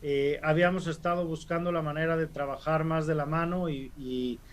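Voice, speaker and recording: A man hosts a webinar over a video call. His voice sits a little high for a man, and he talks in a relaxed way, with frequent "eh" fillers. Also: normal speed. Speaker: webinar host. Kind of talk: casual webinar hosting.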